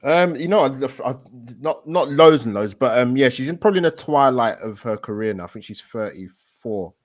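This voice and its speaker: A man co-hosting a casual stream chat, talking in a hurried, hesitant way. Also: mid-range voice, animated, no room sound. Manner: rapid, hesitant, lots of fillers and restarts. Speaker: co-host.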